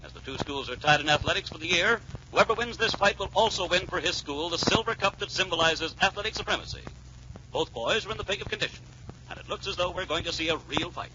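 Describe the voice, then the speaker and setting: An actor playing a formal, punchy sports announcer in a 1940s radio drama about a boxing match. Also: male voice, high voice, expressive, fast talker. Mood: brisk, eager. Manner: formal, declamatory, punchy phrasing. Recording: muffled, noisy 1940s radio recording.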